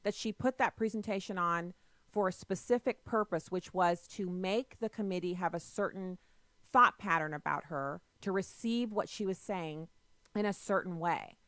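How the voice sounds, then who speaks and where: A woman's voice: lowish voice, fairly bright tone, no room sound. Assertive woman hosting call-in radio. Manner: assertive, argumentative, quick to press questions. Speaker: radio talk show host.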